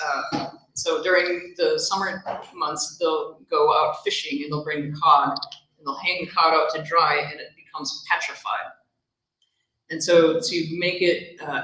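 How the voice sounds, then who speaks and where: A woman in her thirties with a bright, punchy voice gives an informal talk at a library and takes questions from the audience. She explains things chattily and laughs easily. Her voice rings around the roomy meeting hall. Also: low-pitched, a little hiss or hum. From Wisconsin, USA. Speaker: guest speaker at a library lunch talk.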